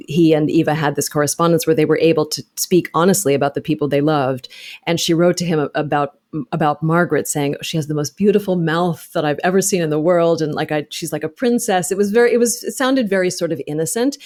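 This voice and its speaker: A woman's voice: deep voice, darkish tone. A woman speaking as an interview guest, articulate and careful with facts as she recounts research in a conversational way. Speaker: podcast guest, actor and author.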